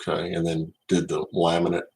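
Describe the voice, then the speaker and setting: Deep-voiced Southern US man. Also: monotone, darkish tone. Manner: unhurried, addresses viewers by name. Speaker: livestream host, card collector. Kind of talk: casual livestream chat.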